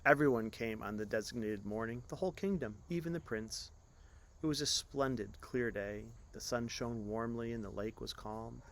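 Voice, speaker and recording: A man tells a story in an expressive, scripted read. His voice is medium in pitch, and he stresses key phrases as a storyteller does. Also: normal speed, vocal fry.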